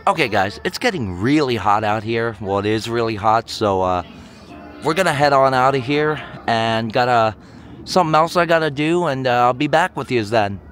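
A man's voice: medium pitch, lively intonation, normal speed, fairly bright tone. A man talking casually to his viewers while filming outdoors on a hot mountaintop, with a folksy, chatty manner. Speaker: vlogger. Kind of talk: casual travel vlog to camera. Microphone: handheld phone or camera mic.

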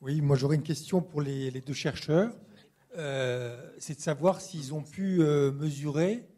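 A man in the audience puts a question to an academic panel in halting, tentative phrases. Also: fairly high pitch, dark tone. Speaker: audience member asking a question. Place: lecture hall at a public panel.

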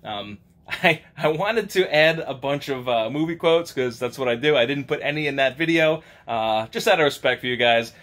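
An upbeat man in his thirties talks excitedly about his finds, chatty and quick to laugh. He speaks in a home garage workshop into a camera held at arm's length. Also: medium pitch. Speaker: channel host. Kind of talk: casual car-parts vlog. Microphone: handheld camera at arm's length.

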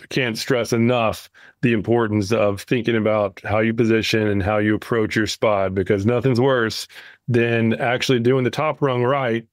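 A man's voice: fairly low pitch, no echo. A man co-hosting a podcast, talking casually and anecdotally, often repeating words as he explains. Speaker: podcast co-host.

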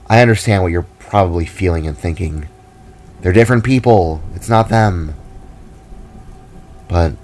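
A man voicing a caring, frank female fantasy creature with a gentle, hesitant manner and quiet sorrow. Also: low voice, slight background noise. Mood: concerned.

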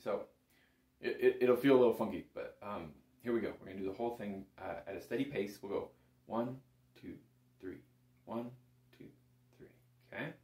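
Man, low voice, music teacher, casual step-by-step instruction, counting beats, roomy echo. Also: normal speed, long pauses, dark tone. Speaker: bagpipe teacher. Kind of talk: instructional music lesson with counting and sung note names. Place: small indoor room full of instruments. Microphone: camera a short distance in front. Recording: roomy echo from camera mic.

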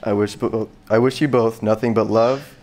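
A young man giving a heartfelt wedding officiant speech in a barn, sincere and measured. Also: lowish voice, steady tone, darkish tone. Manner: sincere, measured, earnest delivery. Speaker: wedding officiant, brother of a bride. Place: large open barn venue, indoors.

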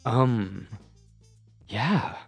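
A man's voice: medium pitch, expressive, extremely slow, gravelly, husky, soft background music, exaggerated voice. A man playing a shy, obsessive lovestruck man on a date in an ASMR roleplay, speaking in a hesitant, intimate, flustered way. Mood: earnest, nervous.

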